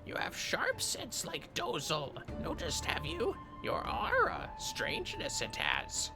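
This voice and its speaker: A man voicing a small, eerie beastfolk mage in a fantasy game, halting and singsong with backwards grammar. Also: on the high side, wildly swooping pitch, gravelly, over music, pitched above the performer's own voice, exaggerated voice. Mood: eerie, knowing. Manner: halting, inverted syntax, singsong.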